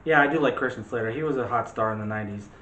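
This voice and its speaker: A man's voice: medium pitch, rapid-fire, fairly bright tone. A man hosting a casual movie discussion, rambling along and correcting himself as he goes. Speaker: host of a film discussion show. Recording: roomy echo with faint background noise.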